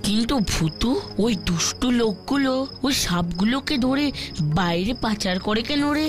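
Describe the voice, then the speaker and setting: A woman's voice: on the high side, lively intonation, normal speed, over music, natural voice. A woman voicing a curious child, a friend of a little ghost, in a kids' cartoon. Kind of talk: animated kids' cartoon acting.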